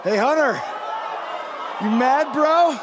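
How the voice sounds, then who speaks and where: A cocky young indie wrestler for a video game. He is high-pitched and lively, needling and goading like a prankster. A noisy crowd sits behind the voice. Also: slow, exaggerated voice. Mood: cocky taunting. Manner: brash, goading. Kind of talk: video game cutscene acting.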